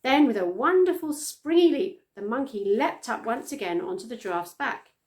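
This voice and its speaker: A middle-aged woman reading a children's story aloud with expressive storytelling delivery. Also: a little high, moderate pace. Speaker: storytime reader. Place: small plain room indoors, on a sofa.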